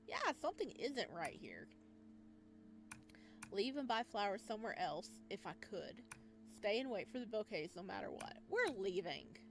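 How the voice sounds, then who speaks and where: Woman reading a visual novel aloud. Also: mid-range voice. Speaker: game streamer.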